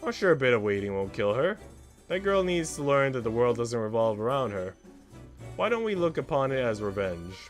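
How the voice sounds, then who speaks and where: Man voicing an arch, flirtatious servant woman, animated. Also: medium pitch, brisk, soft background music, lightly acted. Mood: teasing, flirtatious.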